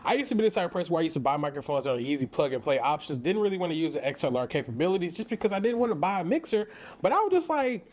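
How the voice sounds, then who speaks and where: A man's voice: a little high, animated, racing, a little mellow. A man with a St. Louis American accent giving a casual, enthusiastic product review in slangy, conversational language. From St. Louis, Missouri, United States. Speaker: tech reviewer and content creator.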